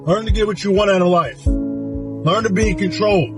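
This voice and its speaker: High-pitched guy doing a motivational speech, punchy repeated lines, loud music under it. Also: normal speed. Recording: speech clip over music.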